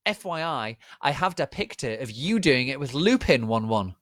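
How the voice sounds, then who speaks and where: A man voicing a melodramatic, hot-tempered gothic teenage girl with shrill, over-dramatic exclamations in a story reading. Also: big pitch swings, moderate pace, fairly bright tone, no echo, clean studio sound. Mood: defiant.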